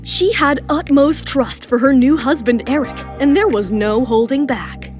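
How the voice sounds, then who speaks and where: A woman with a high voice tells a romance audio serial as its narrator. Her delivery is scripted and dramatic, with music running behind her. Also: measured pace, a little mellow. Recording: music bed under the voice.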